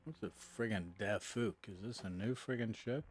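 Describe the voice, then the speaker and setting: A man's voice: lowish voice, moderate pace, slight background noise. A man chatting casually on a live game stream, with grumbling asides.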